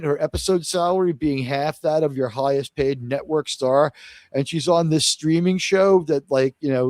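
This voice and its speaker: A man's voice: a little high, a little mellow, no echo. A man from Queens, New York hosting a live discussion show, blunt and opinionated, swearing freely. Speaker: show host. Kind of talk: casual live panel talk.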